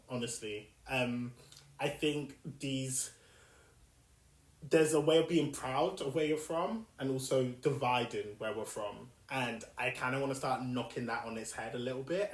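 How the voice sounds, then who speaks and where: A young man with a South London accent hosting a podcast, talking through his past in a thoughtful, reflective solo monologue full of pauses and restarts. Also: medium pitch. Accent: South London English. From South London, England. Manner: thoughtful, with frequent fillers and restarts. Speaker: podcast host. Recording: echoey room.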